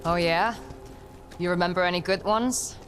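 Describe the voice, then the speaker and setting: Video game adventurer, young, slightly high pitch, plain-spoken, guarded and protective, clipped dry lines. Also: male voice, moderate pace, fairly bright tone. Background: game soundtrack music.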